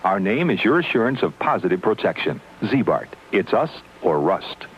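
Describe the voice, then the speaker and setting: A man's voice: fairly low pitch, sing-song, normal speed. A man playing a polite street interviewer in a mock documentary radio commercial, with an announcer's manner. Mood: promotional. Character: smooth-talking male street interviewer in a commercial. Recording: muffled vintage radio tape, faint noise.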